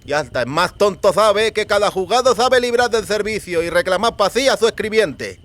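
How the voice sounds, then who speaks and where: A man acts out the eldest of a rough country gang in an audiobook. The voice is fairly high, with a lisp. He talks slowly and sardonically, in old-fashioned rustic words, with menace underneath. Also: animated, fairly bright tone. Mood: mocking. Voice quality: lisping. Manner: slow, archaic rustic speech, sardonic.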